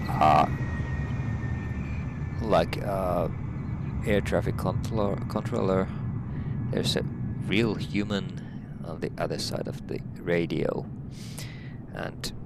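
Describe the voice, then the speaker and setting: A man's voice: sits low, vocal fry, heavy background noise. A middle-aged man from Finland with a Finnish accent, a helicopter pilot talking through a flight sim session with many fillers. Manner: hesitant, many fillers, plain and friendly. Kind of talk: casual flight-sim walkthrough.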